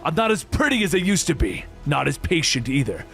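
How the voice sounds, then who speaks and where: A menacing young male antihero in a comic tale, voiced by a narrator, sardonic and dry in his taunts. His voice is fairly high, with music behind it. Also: big pitch swings, a little fast, creaky, a bit bright, heavily acted. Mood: sardonic menace.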